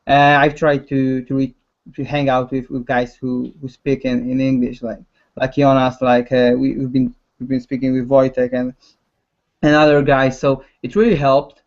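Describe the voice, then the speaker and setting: A man's voice: mid-range voice, flat delivery. Polish guy, english not his first language, keeps stumbling and repeating, kinda muffled audio. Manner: halting, many restarts and repeated words. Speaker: concept artist guest. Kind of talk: casual chat.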